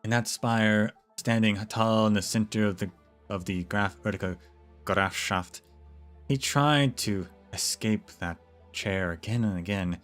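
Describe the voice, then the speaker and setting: Low-voiced man reading a game story. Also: a little slow, soft background music. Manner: relaxed, with chatty asides and small restarts. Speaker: streamer narrating a game. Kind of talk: casual story read-aloud to a stream.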